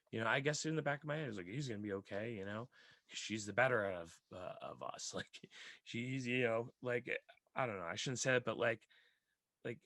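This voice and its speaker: A raspy-voiced man hosting a podcast, rambling earnestly at length in casual chat. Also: on the low side, fairly bright tone, no room sound.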